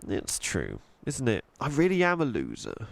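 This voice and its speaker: A man's voice: medium pitch, wildly swooping pitch, normal speed, creaky, no room sound. A man voicing a shy, polite schoolgirl who is awkward and hesitant, in a children's storybook. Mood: glum. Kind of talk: children's storybook character reading.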